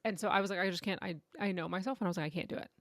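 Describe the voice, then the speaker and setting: A woman's voice: lowish voice, fairly even intonation, very fast, no room sound. A woman co-hosting a podcast in a casual, conversational and giggly way. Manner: informal and conversational, often giggly. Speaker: podcast co-host. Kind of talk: casual chat.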